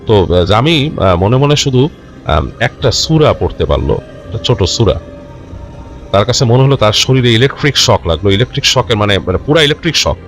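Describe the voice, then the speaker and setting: A man telling a horror story informally and unscripted, in a halting, conversational way with repeated phrases. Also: on the low side, very animated. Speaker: storyteller of a ghost story show. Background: background music.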